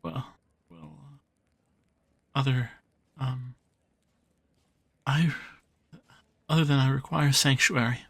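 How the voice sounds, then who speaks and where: Man playing a timid, husky little creature. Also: mid-range voice, fairly even intonation, slow, pauses often, a bit bright, heavily acted. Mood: nervous, pleading. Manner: hesitant, stammering. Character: timid, apologetic small male creature seeking sanctuary. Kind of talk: audio drama acting.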